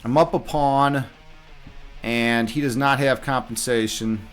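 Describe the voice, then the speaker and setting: A man's voice: mid-range voice, fairly even intonation, takes it slowly, faint music behind. A man giving casual live commentary on his own game as a streamer, muttering half-finished thoughts to himself as he thinks aloud.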